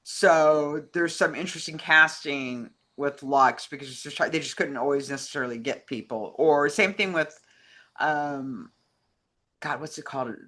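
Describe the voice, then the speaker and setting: A woman co-hosting a casual film discussion, speaking informally and haltingly, correcting herself as she thinks. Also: sits low, a bit bright. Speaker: co-host and film writer.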